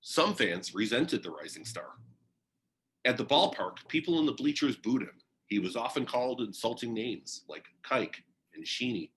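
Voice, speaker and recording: A man narrates a biography as if telling a story, reading from a text. His voice is bright and forward, with pitch that moves around in a lively way and an expressive delivery. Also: rough recording. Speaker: reader of a biography. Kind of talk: read-aloud biography narration.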